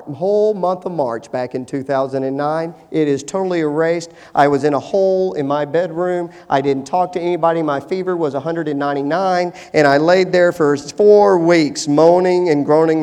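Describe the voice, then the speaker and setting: High-voiced preacher in a reverberant hall. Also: male voice, smooth. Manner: dynamic, swings from hushed to emphatic. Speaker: pastor. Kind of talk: sermon.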